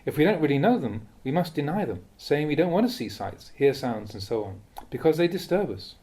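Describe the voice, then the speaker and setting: Guy reading a buddhist talk, mellow relaxed voice, lots of up and down in pitch, thoughtful and measured. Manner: measured, contemplative, with rhetorical questions. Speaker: Buddhist monk reading recorded teachings. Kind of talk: read-aloud dharma talk.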